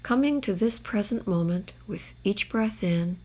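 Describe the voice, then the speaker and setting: A woman guiding a meditation in a measured, gentle way, leaving space between her phrases. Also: medium pitch. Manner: measured, gentle instruction with long pauses. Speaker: meditation guide. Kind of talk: guided body scan meditation.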